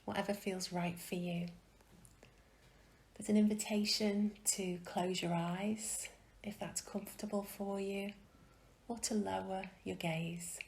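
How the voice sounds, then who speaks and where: A woman gently guiding a meditation in a soft, breathy, often near-whispered voice, soothing and unhurried, with long pauses. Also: mid-range voice, fairly even intonation. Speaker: meditation guide. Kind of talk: guided meditation.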